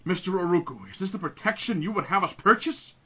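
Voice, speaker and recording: A man's voice: sits high, expressive, fast, bright, forceful tone, breathy edge, lo-fi sound, full character voice. A man playing a haughty, indignant businessman, clipped, formal and scornful, in a fan-made voice comic.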